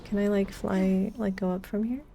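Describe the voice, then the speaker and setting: Woman, game streamer, casual chat, medium pitch, commentary during play. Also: flat delivery, normal speed, creaky, mellow, slight background noise. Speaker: live streamer playing a video game.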